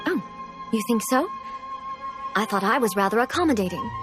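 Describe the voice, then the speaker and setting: Stern female deity, fairly high voice, formal, measured, dignified, video game, music underneath. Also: very animated. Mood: grave, reflective.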